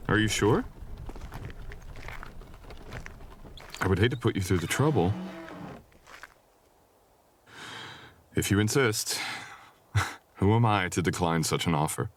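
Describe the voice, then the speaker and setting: A man playing a mysterious, courteous wandering traveler in a soft-spoken romantic fantasy audio roleplay, with old-fashioned, teasing phrasing. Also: low voice, animated, a little fast, a little hiss or hum. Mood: courteous, easygoing.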